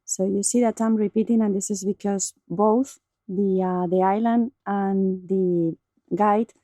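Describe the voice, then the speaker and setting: A woman speaks English in a mid-pitched, warm, mellow voice. She sounds cheerful and assured. The recording is dry. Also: fairly even intonation, unhurried, no echo. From Spain.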